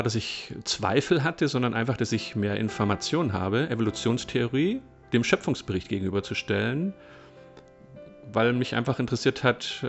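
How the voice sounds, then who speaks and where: A man's voice: medium pitch, vocal fry. A man in his fifties from southwestern Germany, explaining his path earnestly as an interviewee. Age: 50s. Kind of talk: personal testimony.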